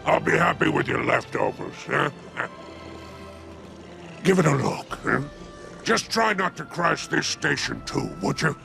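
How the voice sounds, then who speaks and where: Sly, greedy alien gangster for a game: very gravelly, slow wheedling drawl. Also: male voice, a little high, animated, music in the background, heavily acted. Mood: sly, teasing. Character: sly, mercenary alien crime boss. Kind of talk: video game character acting.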